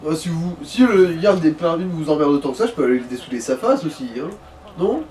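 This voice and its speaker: A man's voice: on the high side, expressive, fast, a little mellow. A man chatting casually over gameplay as a co-host, slangy and sweary, with bantering remarks. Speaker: let's play co-host. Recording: echoey, distant mic with faint noise.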